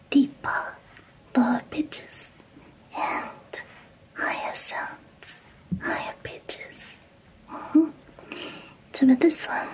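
Very slow whispering ASMR woman. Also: high-pitched. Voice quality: breathy whisper. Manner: gentle, reassuring, softly instructing. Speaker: ASMR roleplayer giving a hearing test. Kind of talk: ASMR roleplay.

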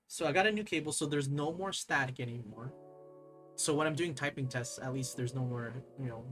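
A young man chatting casually on a live stream at his desk, rambling and excitable, reading chat aloud and laughing often. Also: mid-range voice. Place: desk at home, overhead camera.

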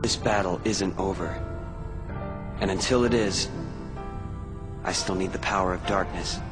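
A man's voice: low-pitched, fairly even intonation, measured pace, over music. An actor playing a brooding, guarded young man in a video game, restrained and wry. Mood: resolute. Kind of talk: video game cutscene voice acting.

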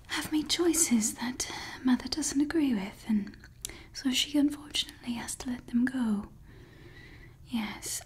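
A young woman in an ASMR roleplay voicing a doting yet menacing evil scientist, speaking in soft, close, sweetly possessive whispers into a close mic. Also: sits high, husky. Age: young adult. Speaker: ASMR creator. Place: indoors. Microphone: close studio mic.

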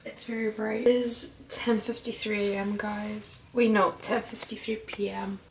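Slow-talking chatty young woman vlogging. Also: mid-range voice, little pitch movement. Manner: chatty, giggly, talks to camera. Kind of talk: casual vlog chat. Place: hotel room. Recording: loud background noise.

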